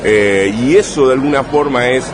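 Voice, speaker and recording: A man from Rosario, Argentina, with a Rosario accent, an interviewed writer talking in a thoughtful, halting way full of restarts and tag questions. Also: medium pitch. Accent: Rosario Argentine Spanish. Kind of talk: relaxed conversational interview. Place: busy bar with street traffic behind. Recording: noisy crowded bar ambience. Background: crowd chatter and passing vehicles.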